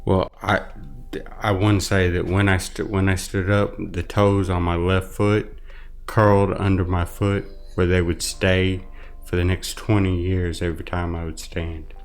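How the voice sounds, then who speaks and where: Guy with a really deep voice, interview guest telling his own story, earnest n halting, bit of music under it. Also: flat delivery. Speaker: interview guest, brain injury survivor. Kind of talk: personal testimony in an interview.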